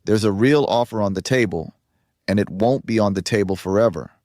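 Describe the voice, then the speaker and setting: A man's voice: lowish voice, fairly quick, darkish tone, dry recording, clean studio sound, natural voice. A man voicing a pragmatic, coolly persuasive businessman in an audio story. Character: smooth, pragmatic businessman, coolly pressing for a sale.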